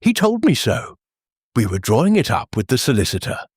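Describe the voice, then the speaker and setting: A man playing a bitter, husky gentleman heir. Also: medium pitch, normal speed, no echo, clean studio sound. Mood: subdued, candid. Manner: flat, clipped, resentful. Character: bitter, raw, defensive English gentleman heir under suspicion. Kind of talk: audiobook character voice.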